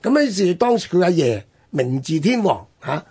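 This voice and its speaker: Male history host, Hong Kong Cantonese, slightly high and husky, conversational storytelling in broken-up phrases. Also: very animated, moderate pace, a little mellow. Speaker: radio history programme host.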